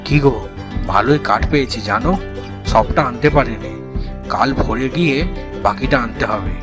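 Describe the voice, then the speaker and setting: A man's voice: medium pitch, normal speed, lightly acted. A man playing a hardworking village father and woodcutter in a cartoon, talking in short, instructing phrases. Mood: pleased. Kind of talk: cartoon dialogue. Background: background music.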